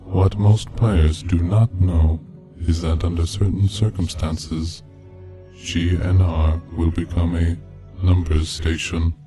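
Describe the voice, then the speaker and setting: A man reading a scripted narration in a measured, deliberate manner. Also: low voice, level tone, warm tone. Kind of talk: scripted narration of a video game mystery. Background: background music.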